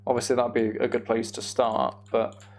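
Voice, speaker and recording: Creaky-voiced young man chatting on stream. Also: medium pitch, level tone, moderate pace, a little hiss or hum. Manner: meandering, thinking aloud to himself. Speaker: live game streamer. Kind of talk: casual gameplay commentary, chatting with viewers.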